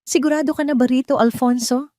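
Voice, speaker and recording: A woman's voice: high voice, steady tone, quick pace, mellow, no room sound, studio quality, pitched above the performer's own voice, natural voice. A woman with a Filipino accent voicing a loyal, concerned male attorney in an audio story. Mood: grave warning.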